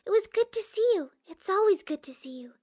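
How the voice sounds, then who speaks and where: A woman voicing a gentle, timid young female cartoon pony, reading a letter aloud with sweet hesitancy. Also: extremely high pitch, lively intonation, fairly quick. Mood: timid, reluctant.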